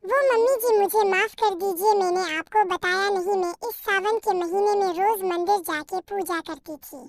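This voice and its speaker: A woman's voice: extremely high pitch, normal speed, no echo. A woman voicing a timid, devout young daughter-in-law bird in a cartoon, meek, pleading and earnest. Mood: nervous, apologetic. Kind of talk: Hindi moral-story cartoon dialogue.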